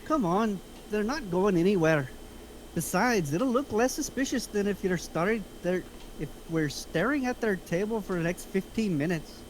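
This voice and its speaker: A man's voice: sits high, animated, normal speed, slight background noise, rough recording. A man playing an immature, cocky, foul-mouthed slacker in a script reading, casual and dismissive. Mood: nonchalant. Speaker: actor at a table reading.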